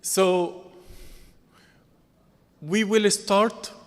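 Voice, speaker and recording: Male session chair, slow and deliberate, high voice, reverberant conference room. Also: steady tone, clean tone. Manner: measured, deliberate pacing. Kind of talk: formal speaker introduction. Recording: long reverb of a conference hall.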